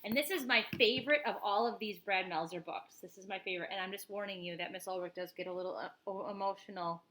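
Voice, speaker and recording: A woman's voice: medium pitch, fast, a bit bright, slight background noise. A woman teacher reading a picture book aloud expressively, with informal explanatory asides to children.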